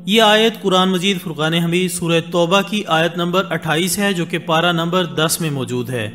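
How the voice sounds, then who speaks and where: A man with a high voice reads a scripted documentary narration in a measured, formal way. Soft music plays under him throughout. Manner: measured, formal Urdu delivery with Arabic religious phrases. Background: soft background music.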